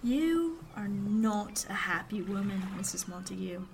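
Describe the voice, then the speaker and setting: A woman playing a self-possessed, formal seafaring man in a period radio drama, speaking with deliberate care. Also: medium pitch, lively intonation, creaky, a little hiss or hum. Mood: grave, persuasive.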